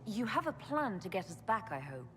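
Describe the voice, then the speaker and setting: A determined young mage heroine in a game cutscene. She speaks at a medium pitch, measured and level-headed. Her voice reverberates in a hall with a little noise behind it. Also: sing-song, a bit bright. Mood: steady resolve. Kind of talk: video game cutscene acting.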